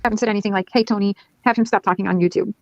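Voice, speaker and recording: A woman's voice: medium pitch, steady tone, rapid-fire, no echo. A woman chatting casually on a panel and asking questions, on dull lo-fi audio. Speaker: online panelist.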